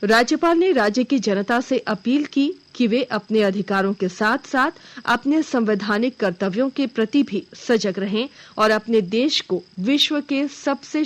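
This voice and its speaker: A woman reads a scripted news bulletin as the anchor. Her voice sits fairly high, and her delivery is formal and measured throughout.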